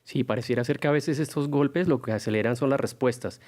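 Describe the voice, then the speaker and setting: Fast-talking man on a news panel. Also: mid-range voice, darkish tone. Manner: rapid, discursive, with restarts. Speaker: panel guest analyst. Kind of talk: debate commentary.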